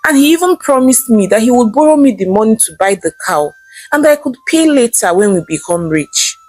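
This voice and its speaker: A woman with a Nigerian accent voicing an anxious, persuasive husband in a folktale, pleading with drawn-out words. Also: fairly high pitch, animated, a little mellow, dry recording. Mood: persuasive, insistent.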